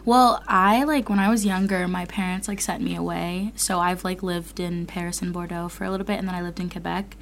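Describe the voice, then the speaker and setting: A young woman from Virginia, a student guest, who rambles casually with many "like"s and pauses. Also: on the low side, steady tone, gravelly, slight background noise. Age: young adult.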